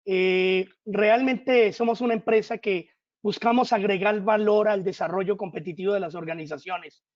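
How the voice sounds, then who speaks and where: A middle-aged man hosts a webinar in a high voice, friendly and relaxed, with fillers between phrases. The sound is dull and muffled, like video call audio. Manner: friendly, uses fillers like "este". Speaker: host, moderator. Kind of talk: webinar moderating.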